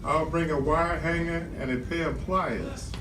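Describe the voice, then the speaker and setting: A man's voice: on the high side, steady tone, a little slow, a bit bright. An older man playing a practical, matter-of-fact friend in an amateur radio theatre reading. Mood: practical, puzzled. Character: practical, questioning male friend on the telephone. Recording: echoey room, distant mic, noisy background.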